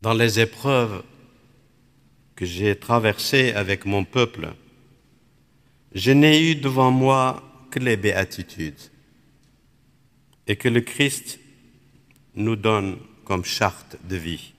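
Slow, reflective priest in a hall. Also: male voice, lowish voice, expressive, fairly bright tone. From Iraq. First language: Aramaic. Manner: measured, contemplative, phrase by phrase. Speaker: Dominican priest. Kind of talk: spiritual reflection.

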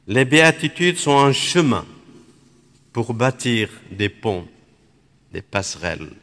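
Slow, reflective priest in a hall. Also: male voice, mid-range voice, a bit bright. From Iraq. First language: Aramaic. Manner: measured, contemplative, phrase by phrase. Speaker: Dominican priest. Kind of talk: spiritual reflection.

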